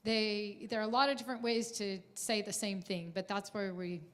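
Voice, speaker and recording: A woman's voice: mid-range voice, fairly even intonation, normal speed. A woman presenting a conference workshop, talking in an encouraging, motivational way to the room.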